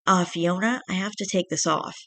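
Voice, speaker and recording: A young man reading the lines of a gentle, caring teenage boy in a soft, quiet, raspy voice. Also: high-pitched, moderate pace, no echo, voice pushed up.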